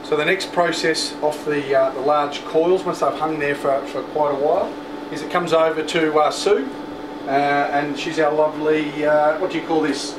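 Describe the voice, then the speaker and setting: A man in his fifties hosting a casual factory tour, chatting through explanations with pauses. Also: a little high, steady tone. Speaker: host presenter. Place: indoors in a large factory and workroom. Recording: echoey factory, loud machine hum, distant mic.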